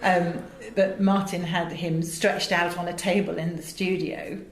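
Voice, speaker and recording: A woman's voice: fairly low pitch, flat delivery, measured pace. A middle-aged woman with an English accent, telling anecdotes emphatically and theatrically as an interview guest. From England. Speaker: interview guest, voice director and audio producer. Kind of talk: animated anecdotal interview talk. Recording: roomy echo with faint background noise.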